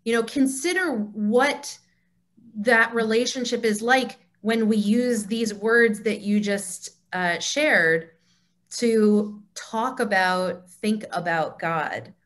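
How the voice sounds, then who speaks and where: A woman's voice: a little high, slow pace, a bit bright. A woman leading an informal group discussion on a video call, encouraging and affirming as she teaches. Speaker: discussion leader of a temple group.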